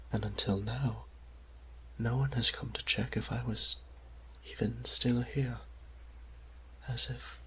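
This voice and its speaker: A man playing an anguished, devout, centuries-old vampire priest in an audio drama, speaking in a breathy, halting, pleading way. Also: mid-range voice, pushed and bright, heavily acted, poor audio. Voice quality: breathy, husky, often near whisper. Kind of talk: dramatic audio roleplay acting.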